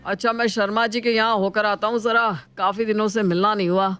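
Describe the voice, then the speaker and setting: A man's voice: high voice, level tone, a little fast, sharp tone, smooth. Older Indian father-in-law, thrifty, grumbling, exclamatory, cartoon moral tale. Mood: bossy. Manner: scolding, exclamatory. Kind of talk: Hindi animated moral story acting.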